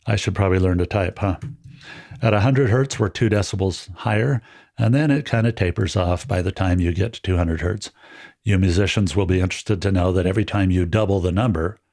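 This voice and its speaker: Guy with a fairly deep low voice walking you through eq settings, relaxed and chatty, dry jokes, raw dynamic mic sound. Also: gravelly. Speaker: audio gear tutorial host. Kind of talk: friendly step-by-step tutorial. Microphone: dynamic handheld-style studio mic, no EQ.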